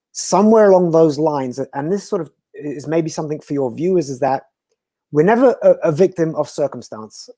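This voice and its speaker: A man from Belgium, a guest speaker talking earnestly and philosophically in slow, emphatic phrases. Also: a little high, warm tone, no room sound, studio quality. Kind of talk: reflective conversational talk.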